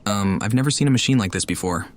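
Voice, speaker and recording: Male let's player, very low pitch, wry and deadpan, casual, clear background noise. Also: fast talker. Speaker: gamer playing a story quest. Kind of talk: casual let's play commentary.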